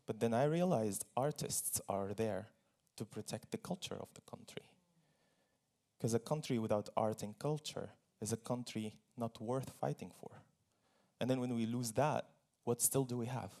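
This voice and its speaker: A man's voice: mid-range voice, normal speed, gravelly, dark tone. A man from Syria speaking on stage as a guest at a forum, telling his personal story earnestly, with small laughs and repeated phrases.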